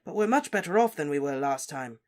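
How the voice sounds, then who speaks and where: A woman's voice: low-pitched, lively intonation, a little fast, a little mellow. A woman doing a firm, soothing wizard. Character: calm, firm grown wizard, a man.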